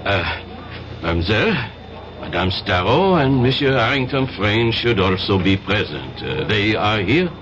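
An actor in a 1970s radio drama playing a formal police inspector, courteous and hesitant. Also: male voice, fairly low pitch, very animated, measured pace, background noise. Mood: polite, firm. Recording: dull vintage radio recording.

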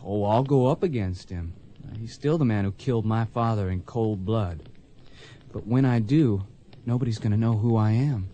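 An actor playing a determined young blind cowboy bent on revenge in a radio western, earnest and resolute, with an American accent. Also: male voice, lowish voice, moderate pace, natural voice. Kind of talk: radio western drama acting.

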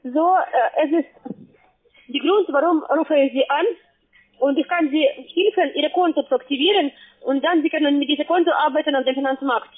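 High-pitched foreign-accented woman on tinny phone. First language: not German. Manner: halting, broken grammar. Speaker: call-center sales agent pitching trading. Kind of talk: halting scripted phone sales pitch. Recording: tinny, narrowband phone line.

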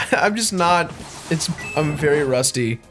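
A man's voice: a little high, lively intonation, slow. A young American man co-hosting a let's play, rambling and self-deprecating as he plays. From United States. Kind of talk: casual gaming banter. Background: game music.

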